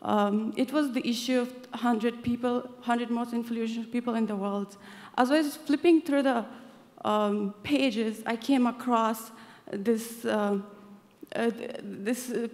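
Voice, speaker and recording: A young woman from Afghanistan giving an earnest personal speech at an awards event, halting often with fillers. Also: a little high. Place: large event hall. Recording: hall reverb from a stage mic.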